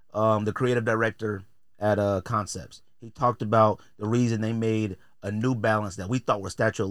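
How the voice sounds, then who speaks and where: A man's voice: mid-range voice, fairly bright tone, no room sound, studio quality. A middle-aged American man hosting a podcast, talking casually in blunt, slangy, opinionated style. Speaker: podcast host.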